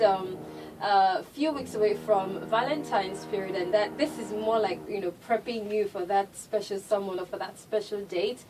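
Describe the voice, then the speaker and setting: A woman hosting a radio interview, chatty and quick to laugh. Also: medium pitch. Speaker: radio show host. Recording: distant mic with noise.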